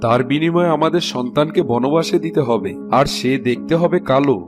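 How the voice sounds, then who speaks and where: A man's voice: fairly high pitch, brisk, lightly acted. Earnest, anxious king in a cartoon, acted by a man. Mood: worried, tender. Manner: earnest, pleading. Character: anxious, pleading fairy-tale king, fond husband and father. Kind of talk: fairy-tale cartoon acting. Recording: music behind the voice. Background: music.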